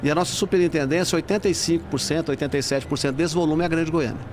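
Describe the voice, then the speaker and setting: A Brazilian man speaks in a fairly high male voice, explaining things informally like an executive being interviewed. Faint trade-fair hall noise is heard behind him. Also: fairly even intonation, racing. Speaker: bank executive, interview guest. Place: convention centre trade fair.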